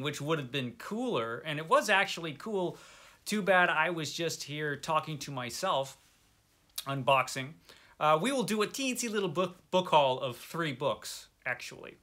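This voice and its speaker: Male book vlogger, somewhat higher-pitched and bright, conversational, wry, self-deprecating. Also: animated, measured pace. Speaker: host of a book review video channel. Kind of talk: casual chat to camera about books.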